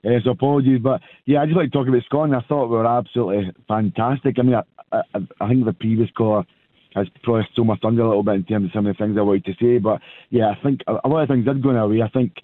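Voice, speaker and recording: Croaky caller on a phone line. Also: male voice, mid-range voice, very fast, no echo.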